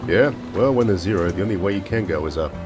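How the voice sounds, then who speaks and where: A man's voice: deep voice, expressive, fast. An actor playing a snarky male radio co-host who mocks politicians in a sarcastic way, with music behind him. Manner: sarcastic, mocking.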